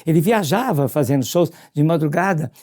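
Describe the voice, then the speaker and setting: A man's voice: on the high side, lively intonation, moderate pace, a little mellow. An older Brazilian man, a radio music presenter, reminiscing casually in an interview.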